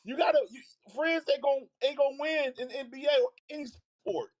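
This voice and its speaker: Man, very high voice, animated, casual sports chat, live stream co-host. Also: a little fast, poor audio. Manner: animated, repeats words while building a point.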